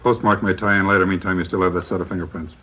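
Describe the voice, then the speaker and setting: A low, monotone police captain rattles off curt lines at high speed. The recording is dull and lo-fi, like an old radio broadcast. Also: male voice, rapid-fire, husky. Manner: curt, clipped replies. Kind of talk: 1940s-50s radio drama acting.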